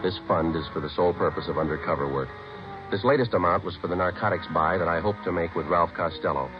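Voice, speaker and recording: Actor as fast, deadpan low-voiced American detective. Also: male voice, close to an everyday voice. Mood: matter-of-fact. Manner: deadpan, matter-of-fact. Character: terse, dry male undercover police detective. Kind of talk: 1950s radio drama acting, clipped narration. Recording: dull old radio recording, music behind.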